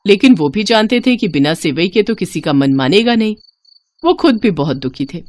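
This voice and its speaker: A woman's voice: medium pitch, dry recording. A woman narrating a scripted moral story as a storyteller.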